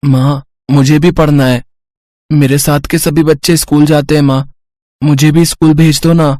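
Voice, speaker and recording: Woman as an earnest, mellow-voiced schoolboy. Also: low voice, fairly even intonation, moderate pace, no echo, clean studio sound, lightly acted. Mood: pleading. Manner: earnest, respectful. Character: eager, polite poor schoolboy devoted to his studies.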